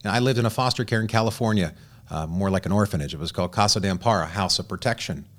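A man's voice: fairly low pitch, fast. A man hosting a studio interview, reading a guest's bio and then asking earnest questions with frequent restarts. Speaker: host.

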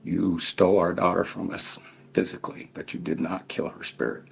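A middle-aged man gives a victim impact statement as a bereaved father, speaking in a deliberate, stern, emphatic way with heavy pauses. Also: low voice, flat delivery, gravelly, husky. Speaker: bereaved father addressing his child's killer. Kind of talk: victim impact statement addressed to one person.

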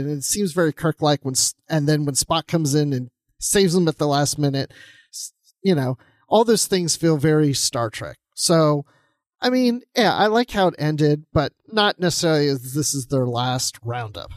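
A man with a fairly high voice talks casually as a podcast co-host. He thinks aloud in a conversational way as he gives his views on a book. The sound is dry, with no room echo.

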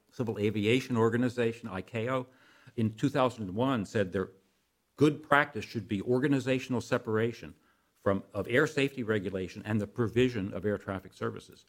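An older American man speaking as an expert guest in an interview, explaining things in a halting way with self-corrections. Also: on the low side.

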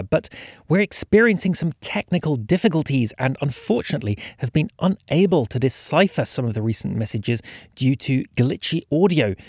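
A man's voice: a little high, a little mellow, no echo, studio quality. A man hosting a podcast, reading scripted thanks and announcements.

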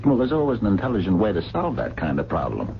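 A deep-voiced, sardonic diner man, impatient and slangy, his delivery swinging widely in pitch. The old radio drama recording is dull with a little noise. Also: huge pitch swings, quick pace, vocal fry, full character voice. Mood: probing. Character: gruff, cynical working-class diner man, grumbling and sardonic. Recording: dull, noisy vintage radio broadcast.

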